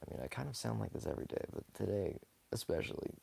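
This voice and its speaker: A hoarse young man talks casually while sketching live, rambling softly with many fillers and small laughs. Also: on the low side, lively intonation, fast talker. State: hoarse, scratchy from talking too much. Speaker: live-streaming sketch artist. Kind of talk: casual commentary while drawing.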